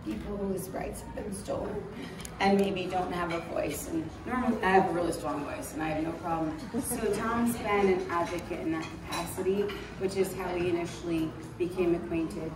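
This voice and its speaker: A woman giving a heartfelt speech at a gala, introducing a guest with many pauses and restarts. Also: on the low side, fairly even intonation. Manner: warm-hearted, halting with restarts. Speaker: gala speaker. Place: gala event hall. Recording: echoey hall, distant mic, noisy.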